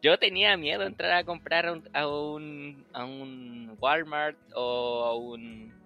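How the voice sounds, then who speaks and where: A man's voice: fairly high pitch, steady tone, takes it slowly, creaky, dull audio, soft background music. A young man from Chile chatting casually as a podcast host, acting out old conversations and laughing often. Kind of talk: casual chat.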